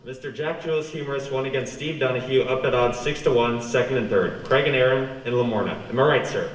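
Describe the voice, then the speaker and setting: Man playing fast-talking Mister Memory, music hall showman. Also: mid-range voice, close to an everyday voice. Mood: brisk, proud. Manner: showman-like, rapid recitation, cheeky. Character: brisk, cocky music hall memory-act performer. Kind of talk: old-time radio play, rattling off facts. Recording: echoey room, distant mic.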